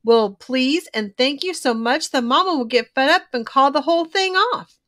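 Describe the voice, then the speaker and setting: A woman's voice: sits high, animated, normal speed, a bit bright. A woman voicing a young boy bear cub in a children's storybook, scheming and mock-polite.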